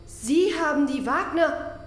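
A woman's voice: high voice, lively intonation, slow. A woman acting in a crime radio play as a distrustful young woman with a questioning, incredulous manner. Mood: horrified. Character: young woman on community service, shocked and distrustful. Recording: noisy echoey room.

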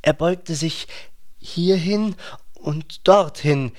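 A man's voice: a little high, very slow. A man reading scripted literary narration as a narrator in a German radio play.

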